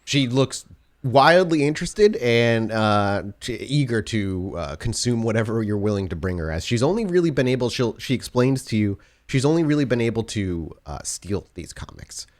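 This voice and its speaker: A man's voice: mid-range voice, big pitch swings, moderate pace. A man working as game master, improvising narration and talking rules in a tabletop roleplaying game.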